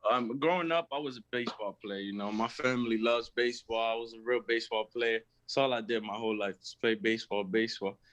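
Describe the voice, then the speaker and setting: A young college basketball player from Massachusetts answering interview questions in a halting way, filling pauses with "you know" and "uh". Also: male voice, medium pitch, fairly bright tone, lo-fi sound. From Lawrence, Massachusetts. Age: young adult.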